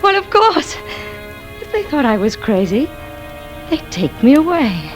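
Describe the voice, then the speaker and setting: An actress playing an embittered, intense wife who feigns madness, confessing haltingly in 1940s radio suspense style. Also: female voice, sits high, big pitch swings, low-quality recording. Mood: feverish, exultant. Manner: dramatic, halting confessional delivery. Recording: vintage radio recording with music.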